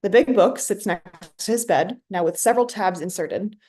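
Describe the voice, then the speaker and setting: Woman reading stage directions, mid-pitched. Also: normal speed, warm tone. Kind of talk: scripted narration.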